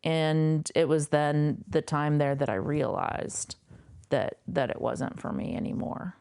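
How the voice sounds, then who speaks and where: A woman guest sharing a personal story in a reflective, unhurried way, with frequent fillers. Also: sits low, gravelly, darkish tone, smooth. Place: a home.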